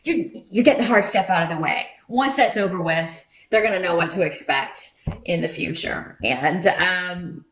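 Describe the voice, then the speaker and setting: A woman's voice: lowish voice, normal speed, a bit bright, a little hiss or hum. A woman giving an unscripted tarot reading to viewers in a conversational, rambling, reassuring way. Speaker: tarot reader and channel host. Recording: roomy echo.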